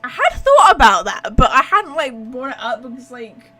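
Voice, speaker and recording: A woman's voice: high voice, wildly swooping pitch, moderate pace, pushed and bright. A woman hosting a casual let's-play, informal and reactive, thinking aloud as she goes. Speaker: gaming video host.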